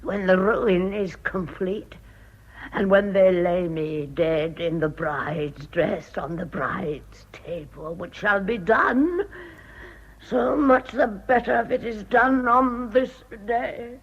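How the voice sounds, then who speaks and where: An actress playing a bitter, decayed old jilted woman in a radio drama, speaking in a grand, deliberate, theatrical manner. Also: medium pitch, husky. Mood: morbid resolve. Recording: dull old radio broadcast recording.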